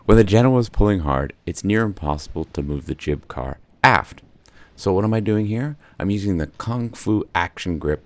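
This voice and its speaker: A man narrating his solo sailing trip in a measured scripted voice-over, with dry wry asides. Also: low voice, darkish tone. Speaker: solo sailor and channel host.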